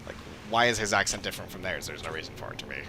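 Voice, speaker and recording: A man's voice: low-pitched, racing. A man giving casual livestream game commentary, musing and opinionated as he goes. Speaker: game streamer. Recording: game music behind.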